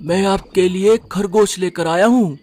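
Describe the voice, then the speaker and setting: A man's voice: sits high, a little slow, darkish tone, pitched above the performer's own voice. A man voicing an eager, fawning male vulture henchman who keeps trying to please his boss in a cartoon.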